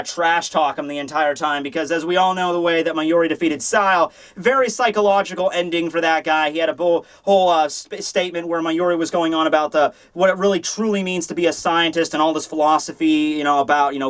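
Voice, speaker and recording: A man giving a casual, rambling review commentary, retelling things in an animated way. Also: fairly high pitch. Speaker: manga chapter reviewer.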